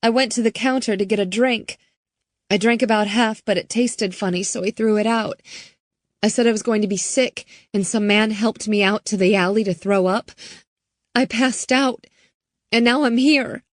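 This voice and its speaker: A terrified young girl, her voice pitched high and cracking with panic as she fights back tears, acted by a woman reading aloud. It is a dry, close recording with no room sound. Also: normal speed, dry recording, lightly acted. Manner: tearful, panicky, breaking voice. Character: frightened young girl, kidnapped and crying.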